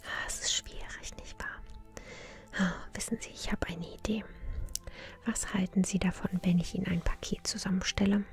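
A woman whispers gently and politely close to the microphone, as a shopkeeper in an ASMR roleplay. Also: mid-range voice. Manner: gentle, unhurried, polite customer service. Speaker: ASMR creator playing a tea shop owner. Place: small indoor room. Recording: soft music behind close whisper. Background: relaxing music.

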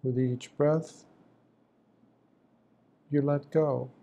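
A man leads a guided meditation in a very dark, soft-edged voice. He speaks slowly and gently, offering short phrases of instruction and leaving long pauses between them. His delivery is that of a monk teaching calm practice. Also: mid-range voice, gravelly, highs cut off.

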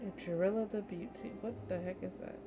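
A woman's voice: fairly low pitch, steady tone, normal speed. A woman giving casual, playful, slangy reactions as she streams a video game. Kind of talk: casual let's-play reaction commentary. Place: indoor room at home, webcam stream. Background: game music.

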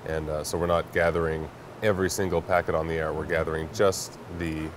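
A man with a low voice explains a tech product as an interview guest. He is matter-of-fact and slightly hesitant, with clear warehouse noise around him. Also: flat delivery, brisk. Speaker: network product company representative, guest. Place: large indoor warehouse staging space.

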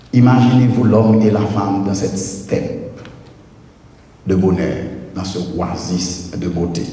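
A man preaching a deliberate, measured wedding sermon from a pulpit, holding a handheld microphone in a large church. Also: low voice, warm tone, slight background noise. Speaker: pastor. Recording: echoey church hall from far off.

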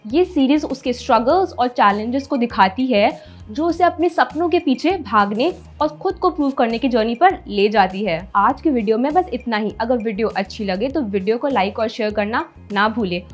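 Young woman presenter, breathy and fairly high, brisk scripted delivery over faint music. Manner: lively, mixes English into Hindi; gestures with her hands as she talks. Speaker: entertainment presenter. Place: studio, in front of a blue-purple backdrop.

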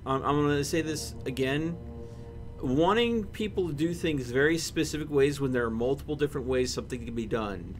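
A man's voice: fairly high pitch, a little fast, faint music behind. A man in his thirties, a game streamer chatting casually with friends in an exasperated, sarcastic, wordy way. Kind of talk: casual chat while gaming with friends. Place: indoor room at home. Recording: game vehicle noise behind voice. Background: video game vehicle and ambience noise.

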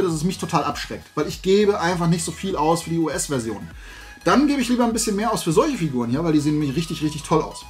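Male YouTube host, forties, slightly high voice, casual rambling delivery with emphatic phrasing, music behind. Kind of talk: casual chat to camera, product haul. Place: indoors, small collection room lined with shelves.

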